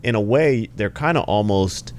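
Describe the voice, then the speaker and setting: A man co-hosting a podcast as a basketball trainer, speaking slowly and earnestly and often agreeing. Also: lowish voice, expressive, a little hiss or hum. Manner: slow, earnest, agreeable with frequent affirmations. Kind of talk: casual podcast chat.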